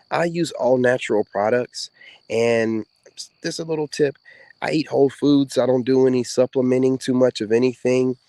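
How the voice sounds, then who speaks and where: Man, low voice, soft-spoken, laid-back drawl, lots of fillers, casual to-camera monologue. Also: dry recording. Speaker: content creator speaking to women viewers. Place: outdoors.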